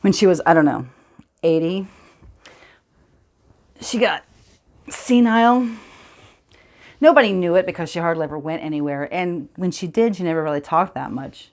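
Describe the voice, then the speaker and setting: A woman performing a dramatic film monologue as a somber storyteller recalling childhood, speaking in a hushed, halting, deliberate way. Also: fairly low pitch, close to an everyday voice. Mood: wistful, brooding.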